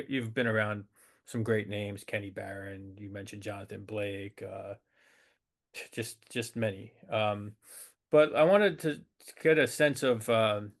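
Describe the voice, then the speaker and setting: Man hosting an interview, low voice. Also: fairly bright tone. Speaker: interview host. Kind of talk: casual interview conversation.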